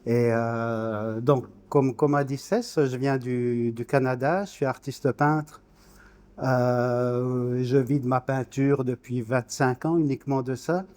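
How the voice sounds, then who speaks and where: A man's voice: medium pitch, fairly even intonation, slow, a little mellow. A man in his sixties from Switzerland, an interview guest talking informally, searching for words and repeating small ones as he goes. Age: 60s. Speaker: guest, painter.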